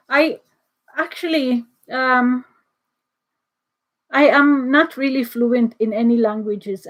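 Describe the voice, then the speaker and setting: Chatty Filipino woman, slow and high. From Philippines. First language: Bisaya. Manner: chatty, laughs often, restarts sentences. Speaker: livestream host. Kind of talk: casual livestream chat reading comments.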